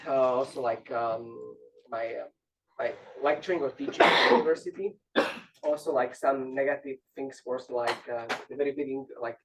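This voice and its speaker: A young man from Slovakia, speaking on a panel with many fillers. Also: medium pitch. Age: young adult. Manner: quick, with filler words and chuckles. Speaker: roundtable panelist. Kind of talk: conversational panel remarks. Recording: distant mic with faint noise.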